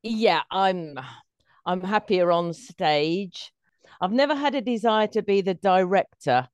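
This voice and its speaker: A woman's voice: medium pitch, slow, smooth, no echo. A middle-aged woman, a stage performer telling anecdotes as an interview guest.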